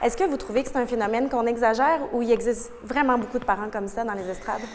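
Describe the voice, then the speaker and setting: Female interviewer, fast brisk questions, reverberant room with faint hiss. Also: a little high, a little mellow.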